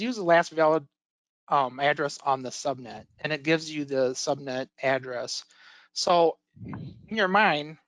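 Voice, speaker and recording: A man who is an IT instructor, giving a casual webinar presentation, with a voice worn slightly hoarse from illness and many fillers and restarts, on a computer mic. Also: a little high, a bit bright, dry recording, low-quality recording. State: losing his voice from illness.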